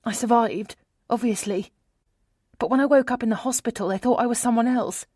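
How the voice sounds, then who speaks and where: A woman's voice: on the high side, brisk, darkish tone, dry recording, studio quality, voice pushed up, lightly acted. Woman acting an anxious, apologetic runaway wife. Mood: earnest, confessing.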